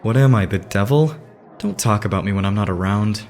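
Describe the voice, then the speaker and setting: A man's voice: lowish voice, expressive, brisk, a little mellow. A man voicing a stern, serious young idol band leader in an anime fandub, curt in manner.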